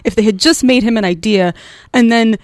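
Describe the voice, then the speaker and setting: A woman co-hosting a casual movie review chat, opinionated and animated. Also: a little high, fairly quick, dry recording. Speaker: podcast co-host.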